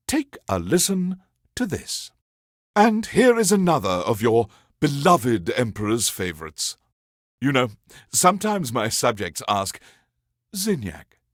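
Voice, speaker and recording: A grandiose alien emperor presenting classical music on a video game radio station. His delivery is unhurried and theatrical, with sweeping rises and falls in pitch as he savors each phrase. He talks down to his subjects with lofty condescension, in a very dry, close studio sound. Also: no room sound. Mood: smug, grandiose. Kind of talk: theatrical scripted radio host patter.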